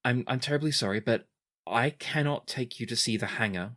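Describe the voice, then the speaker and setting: A woman's voice: extremely low pitch, fairly quick, a bit bright. A woman voicing a nervous, apologetic military clerk in a tabletop game, hesitant and formal.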